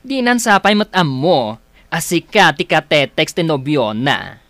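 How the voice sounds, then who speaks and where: An actress with an Ilocano accent playing a frank, chiding friend in a radio drama. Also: female voice, low-pitched, very animated, a little slow, a bit bright, no echo, exaggerated voice. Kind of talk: Filipino radio drama acting.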